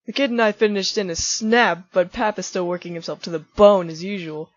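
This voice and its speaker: A woman's voice: mid-range voice, fairly quick, no room sound, lo-fi sound, natural voice. A woman voicing a laid-back adult male skeleton in a fan comic dub, talking casually and joking. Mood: easygoing. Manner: casual, joking, uses nicknames.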